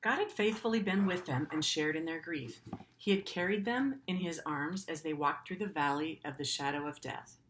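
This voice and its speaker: A woman's voice: sits low, normal speed, fairly bright tone, slight background noise, poor audio. A middle-aged woman teacher reading a story aloud to pupils in an earnest way, with simple, informal explanatory asides.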